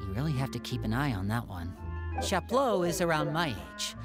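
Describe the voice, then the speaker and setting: A woman's voice: extremely low pitch, big pitch swings, normal speed, over music. An actress playing a composed, protective young woman who guides others in a video game. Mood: earnest, explaining.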